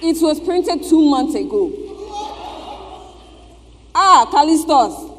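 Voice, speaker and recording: A woman's voice: high voice, lively intonation. A woman playing an indignant, dramatic market trader in a stage play, with exclamatory delivery. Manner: exclamatory, deliberate. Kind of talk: theatrical stage-play acting. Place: theatre stage, picked up in the hall. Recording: echoey hall, noticeable background noise.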